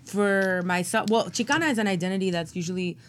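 A nonbinary actor and playwright answering interview questions in a thoughtful, searching way, full of "like" and "you know.". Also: female voice, mid-range voice, fast talker, slight background noise.

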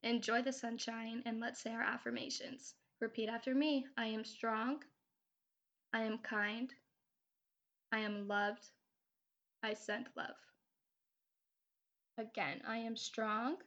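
A woman's voice: fairly high pitch, little pitch movement, slow, pauses often, fairly bright tone. A woman with a cold hosting an affirmation podcast, talking in an earnest, encouraging way with occasional casual swearing. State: has a cold. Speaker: podcast host.

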